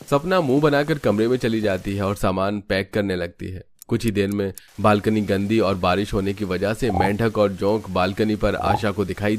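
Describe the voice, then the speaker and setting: A man's voice: lowish voice, darkish tone, slight background noise. A man narrating a scripted cartoon family story.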